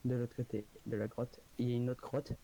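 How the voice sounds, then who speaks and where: A young man chatting casually while playing a video game, reacting with quick excited exclamations. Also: mid-range voice, low-quality recording. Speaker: gamer. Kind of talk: casual gaming chat.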